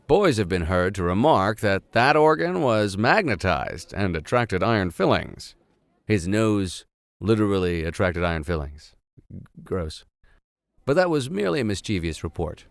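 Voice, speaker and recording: A man's voice: lowish voice, no echo. A man reading a classic novel aloud as host, dropping irreverent, slangy asides into the formal narration. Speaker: host and reader. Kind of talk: audiobook reading with joking improvised asides.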